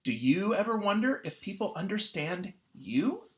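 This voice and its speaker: Someone reading a children's picture book aloud in an expressive, teacherly way. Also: mid-range voice, normal speed. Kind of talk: children's read-aloud narration.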